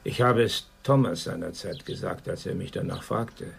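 Gravelly, guarded older witness. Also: male voice, sits low, a little hiss or hum. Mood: reflective. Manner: guarded, measured. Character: reluctant, guarded older man recalling buried surveillance past. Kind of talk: German radio drama acting.

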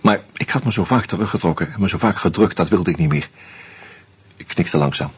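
A hard-drinking, bitter man narrating a radio mystery. He speaks in a lowish, deeply husky voice and needles his rival with slow sarcasm. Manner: slow, sardonic, confronting. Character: drunk bitter man exposing a murderer. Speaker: Hans Troebo, narrator. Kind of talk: first-person radio drama narration.

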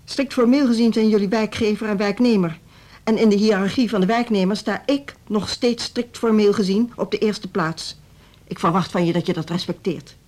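A woman playing a proud, stern middle-aged music school director in a radio drama, speaking in formal, measured sentences. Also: mid-range voice, fairly even intonation, a little mellow, lightly acted. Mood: stern, aggrieved. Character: proud, stiff older female music school director, easily slighted.

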